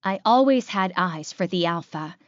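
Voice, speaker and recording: A woman's voice: lowish voice, expressive, normal speed, no room sound, muffled recording, lightly acted. Woman reading a scheming she-wolf, dry sound. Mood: scheming, resentful. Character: scheming jealous female werewolf narrating her secret ambitions. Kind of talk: first-person audiobook narration.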